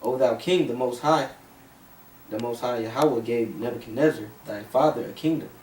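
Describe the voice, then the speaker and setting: A man's voice: mid-range voice, moderate pace, darkish tone. A man reading scripture aloud in a small group discussion, rising in emphasis on key phrases. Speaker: scripture reader. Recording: echoey room, distant mic, faint hiss.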